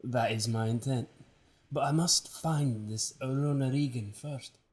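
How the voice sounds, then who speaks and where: A man's voice: medium pitch, a little slow, a little mellow, close to an everyday voice. A man playing a stern, clipped general. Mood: terse. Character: stern male general on a royal mission. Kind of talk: audio drama acting.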